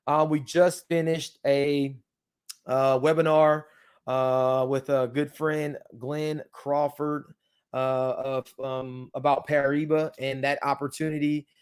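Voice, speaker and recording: A man's voice: mid-range voice, flat delivery, slow pace, a little mellow, clear, resonant tone. A man hosting a casual tutorial for his viewers, his speech slightly thickened by dental aligners, rambling with many ums and you-knows. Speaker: crypto channel host.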